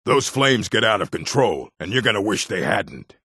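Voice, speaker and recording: An actor voicing a gruff, proud male orc warrior in a fantasy video game, with a gravelly, husky voice and blunt, terse delivery. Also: lowish voice, big pitch swings, moderate pace, pushed and bright, dry recording, clean studio sound, exaggerated voice. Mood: alarmed. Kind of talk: video game character voice lines.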